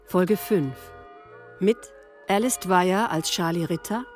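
A woman's voice: lowish voice, takes it slowly. A woman reading scripted credits as the announcer of a radio drama series. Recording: music behind the voice.

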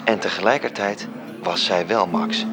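A man from the Netherlands performing as a literary narrator in a radio play, telling the story in a measured way. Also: deep voice, over music, lightly acted. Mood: measured, reflective.

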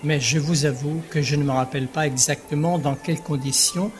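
An actor playing a courteous, absent-minded court president in an audio drama, stiffly polite and elaborate in phrasing. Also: male voice, a little high, fairly even intonation, brisk, faint music behind, natural voice. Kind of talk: French audio drama acting.

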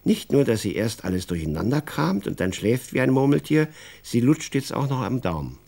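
A male actor as the wry narrator of a children's radio drama, telling the story with animation in a gravelly, husky voice. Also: medium pitch, sing-song, normal speed, a little mellow.

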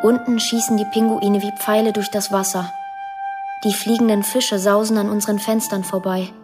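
A woman playing a lonely, dreamy twelve-year-old girl in a quiet, poetic radio drama monologue. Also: mid-range voice, level tone, moderate pace, over music, close to an everyday voice.

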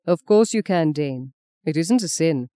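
Audiobook cardinal, read by a woman: quiet, courteous, reassuring man with smooth, warm delivery. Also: low-pitched, animated, measured pace, dry recording, clean studio sound. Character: kindly, courteous middle-aged male cardinal. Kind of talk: audiobook character reading.

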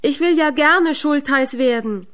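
A woman's voice: high voice, measured pace, fairly bright tone, pitched above the performer's own voice. A woman voicing gullible, eager villagers in a storybook reading, with emphatic exclaiming. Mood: panicked pleading. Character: gullible, eager villagers and shepherd from a fairy tale.

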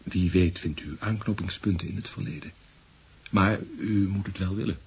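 A probing psychiatrist in a radio play. His low, fry-edged voice is calm and measured, guiding with clinical patience over a faint hiss. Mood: explanatory. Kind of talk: Dutch-language radio play acting.

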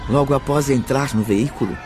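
A man narrates a radio drama in a mid-pitched voice. His scripted delivery is dramatic, and music plays clearly underneath. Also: brisk.

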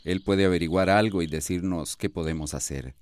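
A man's voice: low voice, fairly quick, natural voice. An actor playing a kindly, reassuring older male landowner in a radio soap opera. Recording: radio drama with birdsong ambience behind.

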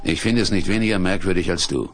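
A man acting a big, gruff, protective hermit with a gravelly, husky voice in a 1970s German radio play, speaking in an unhurried, commanding way. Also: deep voice, natural voice. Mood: thoughtful. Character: big red-bearded hermit, gruff, protective secret father.

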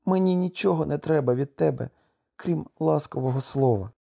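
A man's voice: medium pitch, expressive, normal speed, dark tone, husky. A man voicing a meek, devoted wife in a storybook, trembling and pleading. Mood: tearful, pleading.

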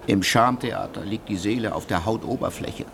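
An actor playing an aging male jurist who coolly justifies himself in measured, lecturing speech, in a radio drama. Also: lowish voice, gravelly, noisy background.

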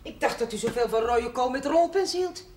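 An actress playing a nosy, scolding middle-aged housekeeper to a priest in a 1970s TV drama. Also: female voice, high-pitched, animated, a little fast. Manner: reproachful, gossipy. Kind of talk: 1970s television drama acting. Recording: noisy TV soundtrack.

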